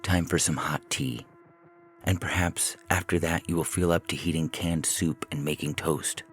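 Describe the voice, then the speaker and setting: A man reading a scripted short story as narrator, in a measured, deliberate way. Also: low-pitched, steady tone. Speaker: narrator and podcast host.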